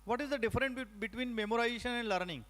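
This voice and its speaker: Indian English man, middle-aged, high voice, halting lecturer with many fillers. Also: a bit bright. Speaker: faculty trainer. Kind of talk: lecture to teachers.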